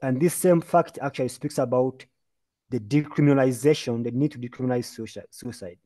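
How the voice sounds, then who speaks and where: A man's voice: mid-range voice, fairly quick, mellow. A man from Uganda giving an earnest, emphatic talk as a mental health speaker in a webinar presentation, heard over a video call. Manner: earnest, emphatic, lecturing with lists and repeated phrases.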